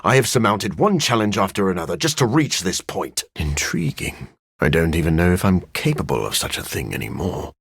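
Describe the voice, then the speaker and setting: A man playing a brooding, dryly witty vampire scientist in a video game, husky and raspy, speaking in a formal, sardonic way. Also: low-pitched, big pitch swings, fairly quick, no echo, studio quality, full character voice. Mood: measured, brooding. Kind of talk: video game character voice acting.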